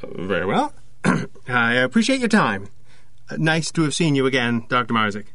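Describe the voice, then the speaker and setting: An actor playing an awkward, earnest young photographer in an audio drama, stammering and hesitant. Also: male voice, mid-range voice, very animated, normal speed, a bit bright, dry recording. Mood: polite, taken aback.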